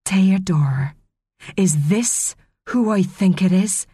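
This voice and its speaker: A woman as a quiet, menacing mermaid leader. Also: fairly low pitch, normal speed, breathy edge, no room sound. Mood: quietly menacing. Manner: quiet, menacing, archaic formal speech. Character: stern, menacing mermaid leader, disappointed and commanding.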